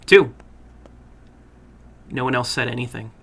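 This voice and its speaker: A man narrating a horror story dramatically, in a deliberate, suspenseful manner. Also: medium pitch, steady tone, sharp tone. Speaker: horror fiction reader and host.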